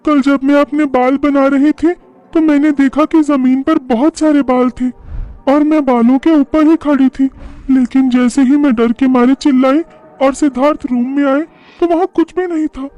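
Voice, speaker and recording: Man doing a very high, worried neighbour lady. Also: steady tone, normal speed, mellow. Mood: confiding worry. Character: worried female neighbour, chatty and confiding. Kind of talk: horror cartoon dialogue.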